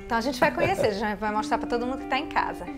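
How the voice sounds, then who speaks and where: A woman's voice: on the high side, expressive, rapid-fire, loud music behind the voice. A young woman, hosting a television interview in a conversational style. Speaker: TV interview host. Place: guest's living room.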